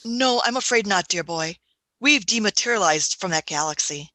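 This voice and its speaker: A woman playing a fussy elderly Doctor, bright-voiced. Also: mid-range voice, expressive, moderate pace, no room sound. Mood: fussy, kindly. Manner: hesitant, old-fashioned, fussing phrases. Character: kindly elderly time-travelling Doctor, female, absent-minded and fussy. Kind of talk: amateur radio play acting.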